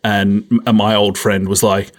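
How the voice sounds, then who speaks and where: A man's voice: on the low side, little pitch movement, normal speed. A man with an Australian accent co-hosting a film podcast, chatting casually in a jokey, blunt, irreverent way.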